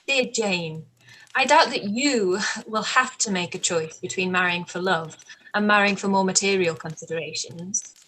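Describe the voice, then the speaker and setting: A woman playing a spirited, outspoken young gentlewoman in a period radio play, with emphatic, expressive formal speech. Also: mid-range voice, normal speed, a little hiss or hum, natural voice, low-quality recording. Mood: gentle.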